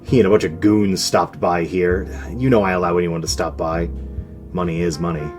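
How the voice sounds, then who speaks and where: An actor playing a gruff, world-weary New York speakeasy barkeep in a 1920s gangster radio drama, tough-talking in period slang. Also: male voice, sits low, steady tone, normal speed, darkish tone, soft background music, lightly acted. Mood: guarded, bitter.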